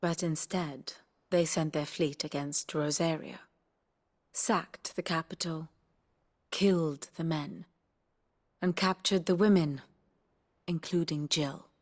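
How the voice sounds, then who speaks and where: A woman playing a composed, learned lore-keeper in a video game, explaining history in a measured, articulate way. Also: low voice, steady tone, a little mellow. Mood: grave, knowing. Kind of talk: scripted video game exposition.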